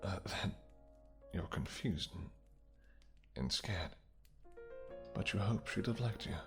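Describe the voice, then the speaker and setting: Man acting low-voiced, tender vampire king. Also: lively intonation, faint music behind, heavily acted. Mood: tender, hesitant. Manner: soft, courtly, hesitant, halting phrasing. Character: tender male vampire king, winged, regal and devoted lover. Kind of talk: romantic fantasy audio roleplay acting.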